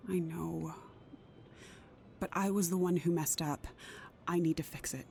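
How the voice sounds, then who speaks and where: A woman acting a careful, troubled career mother in an intimate audio drama, measured and sometimes hesitant. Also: fairly low pitch, steady tone, gravelly, dark tone, a little hiss or hum. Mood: troubled, firm.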